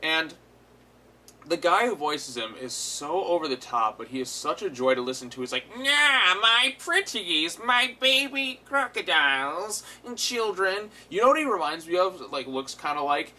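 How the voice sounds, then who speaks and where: A man's voice: medium pitch, fast talker, sharp tone. A man giving a casual, unscripted episode review as host, retelling it animatedly with frequent swearing. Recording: clear background noise.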